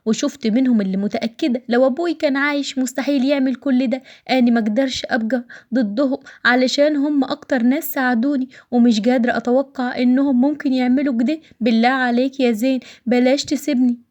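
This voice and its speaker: A woman's voice: sits high, fairly even intonation, quick pace, darkish tone, voice pushed up, natural voice. A woman voicing a frail, affectionate young patient in an audio story, emotional and pleading, with an Egyptian accent.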